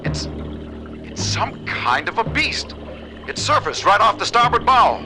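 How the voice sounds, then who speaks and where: Ship crewman: brisk, wry, capable, high-set voice, animated delivery, classic cartoon adventure. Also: heavily acted. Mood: alarmed. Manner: brisk radio-operator delivery. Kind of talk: vintage cartoon adventure voice acting. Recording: music playing behind the voice.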